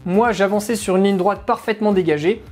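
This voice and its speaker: A woman's voice: medium pitch, brisk, darkish tone, soft background music. A woman narrating a product review in an informal, chatty, explanatory way. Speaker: motorcycle gear reviewer.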